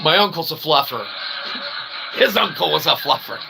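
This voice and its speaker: A man hosting an online show, delivering a rambling, wordy, mock-formal comic monologue with animated bursts. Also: fairly high pitch, moderate pace, gravelly, loud music behind the voice. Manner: mock-formal, wordy phrasing with frequent check-in tags. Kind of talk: rambling comedic monologue to a livestream chat.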